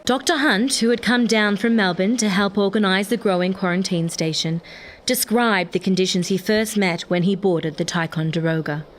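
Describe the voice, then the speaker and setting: A woman's voice: medium pitch, normal speed, a little hiss or hum, lightly acted. A woman playing a time-travelling reporter who speaks in a reportorial, on-the-scene manner in a radio play.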